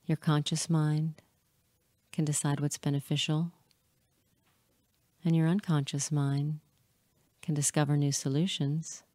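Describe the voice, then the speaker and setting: Slow, low-voiced woman guiding hypnosis. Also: flat delivery, dark tone, no room sound. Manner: soothing, measured, with frequent pauses between short phrases. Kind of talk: hypnotic guided meditation.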